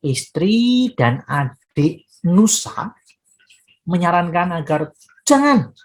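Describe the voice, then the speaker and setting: A woman's voice: fairly low pitch, big pitch swings, slow pace. A woman storyteller narrating expressively for children, with dramatic phrasing and direct address to her young listeners.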